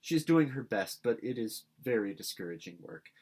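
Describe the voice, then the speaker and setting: A man's voice: mid-range voice, sing-song, normal speed, a little mellow, breathy edge. A man reading a storybook as an earnest, dramatic orphan girl who pleads in formal, effusive speech. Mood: earnest, polite.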